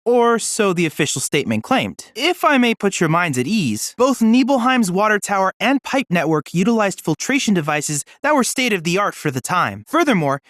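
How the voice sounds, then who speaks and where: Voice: mid-range voice, very animated, moderate pace, few pauses, fairly bright tone, no echo, studio quality. An actor voicing an earnest, brainy boy-like android assistant in a video game, youthful-sounding and speaking in formal, precise, bookish phrases. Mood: earnest, analytical. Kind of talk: video game voice acting, scripted dialogue.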